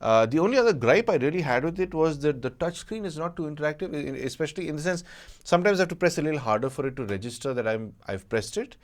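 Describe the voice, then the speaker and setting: A man's voice: fairly high pitch, expressive, fast talker. A man giving a casual, unscripted product review as an audio gadget reviewer, conversational and hedging with colloquial asides.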